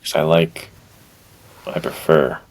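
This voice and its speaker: A man's voice: extremely low pitch, steady tone, slow, creaky, low-quality recording. A man co-hosting a podcast, talking casually and informally about films and books. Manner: informal, slangy. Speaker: podcast co-host. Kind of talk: casual film and book discussion.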